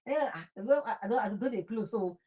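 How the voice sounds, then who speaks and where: A woman's voice: mid-range voice, animated, normal speed, mellow. A woman with a Nigerian accent talking informally and emphatically about her opinions, repeating phrases and slipping into Pidgin. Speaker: talk show host. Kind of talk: impassioned informal political talk.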